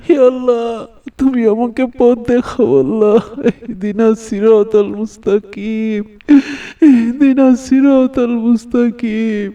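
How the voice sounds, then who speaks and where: An actor voicing a distraught young village son, devout and quick to anger, stammering and repeating words in a melodramatic cartoon style. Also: mid-range voice, steady tone, warm tone, recorded from a distance, natural voice. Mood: anguished, pleading.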